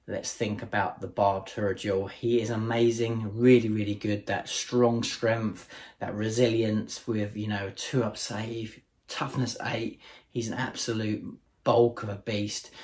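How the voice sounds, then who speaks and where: A man with a slightly low, hoarse voice that sounds worn out, explaining tabletop wargame tactics to camera. He is enthusiastic and chatty, calling his viewers guys as he runs through his picks. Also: darkish tone. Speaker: tabletop wargame channel host. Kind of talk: casual strategy tutorial to camera.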